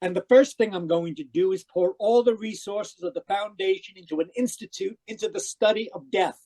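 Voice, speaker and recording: Husky, theatrical scheming uncle, played by a man. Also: high voice, fairly quick, dark tone. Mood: domineering. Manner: theatrical, emphatic. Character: obsessive, domineering uncle, defensive and scheming. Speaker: radio drama actor. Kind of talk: 1940s radio mystery acting, narrating and dialogue. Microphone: video call. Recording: muffled-edged online video call.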